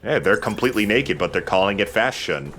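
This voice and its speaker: A man's voice: fairly low pitch, expressive, a little fast, a bit bright, soft background music. A man hosting a let's play, joking and swearing in casual banter as he comments on his game. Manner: joking, profane.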